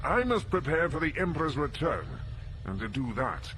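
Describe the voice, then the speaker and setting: An imperious, forceful Imperial villain. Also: male voice, on the high side, sing-song, normal speed, slight background noise. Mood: menacing. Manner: commanding, threatening. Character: imperious, menacing male Imperial dark-side executor commanding a fleet. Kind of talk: theatrical space-opera audio drama acting.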